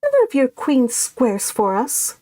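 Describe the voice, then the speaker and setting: Woman putting on high, fussy Regency gentry. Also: huge pitch swings, slow pace, mellow, dry recording, full character voice. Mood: pleading, demanding. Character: self-absorbed Regency gentry, gossipy and fussy about status.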